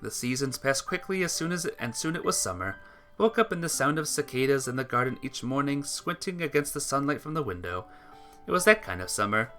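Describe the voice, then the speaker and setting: Someone reading a story game out loud, earnest, bright voice, music in the back. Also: mid-range voice, lively intonation, a little fast. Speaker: visual novel player reading the text aloud. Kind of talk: narrated reading of a sentimental story. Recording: music behind the voice.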